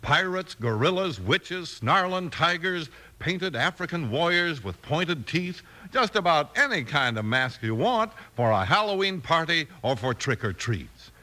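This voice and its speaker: An American man announcing and narrating in punchy, excited 1950s radio style. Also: a little high, unhurried, few pauses.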